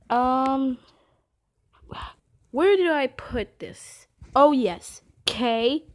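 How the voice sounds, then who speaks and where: A woman voicing a cheeky young boy penguin in a cartoon fan dub, who gets excited, turns bratty and stumbles over words. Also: high voice. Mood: eager.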